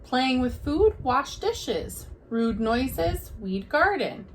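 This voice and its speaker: Female storybook reader, teacher type. High-pitched, unhurried, expressive, with faint noise behind. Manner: expressive, deliberate storytelling delivery. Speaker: teacher reading aloud. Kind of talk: children's picture book read-aloud.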